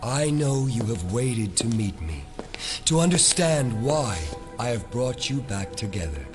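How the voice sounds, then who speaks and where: An actor playing a menacing, coolly superior mind-reading conspiracy leader in an audio drama, speaking in measured, grandiose speeches. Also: male voice, mid-range voice, very animated, over music. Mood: grandly smooth.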